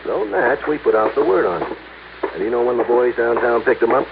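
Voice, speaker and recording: Gravelly radio police lieutenant. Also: male voice, medium pitch, lively intonation, brisk. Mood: chatty, pleased. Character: male police lieutenant, folksy, dogged, plain-spoken. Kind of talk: 1950s radio detective drama acting. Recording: tinny, noisy old-time radio recording.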